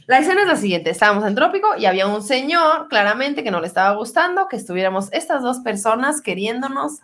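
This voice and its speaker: Animated, high-pitched Mexican woman. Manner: animated, colloquial. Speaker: podcast co-host. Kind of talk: casual chat and storytelling.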